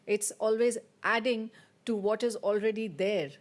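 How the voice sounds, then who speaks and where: A woman panelist who moved to Finland from abroad, giving measured, reflective remarks in a panel discussion. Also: medium pitch, steady tone. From abroad, living in Finland. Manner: reflective, measured, thinking aloud.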